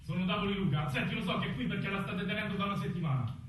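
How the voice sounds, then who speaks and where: An actor playing an agitated, demanding boyfriend, urgent and confrontational, in a radio drama. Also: male voice, high-pitched, rapid-fire, fairly bright tone, recorded from a distance. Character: agitated, demanding boyfriend looking for his girlfriend. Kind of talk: stage-style radio drama acting. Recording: echoey room from afar, slight noise.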